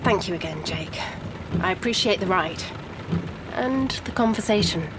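An actress playing a grieving, bitter wife and lapsed writer in a 1930s noir audio drama, articulate and wry. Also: female voice, mid-range voice, animated, moderate pace, heavy background noise, close to an everyday voice. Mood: weary, polite. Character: grieving, bitter English writer wife in 1937, sharp-tongued. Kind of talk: scripted gothic noir audio drama acting.

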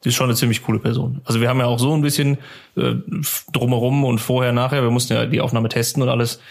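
Male podcast co-host, medium pitch with plenty of creak, informal and easygoing. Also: flat delivery, fast. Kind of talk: casual podcast chat.

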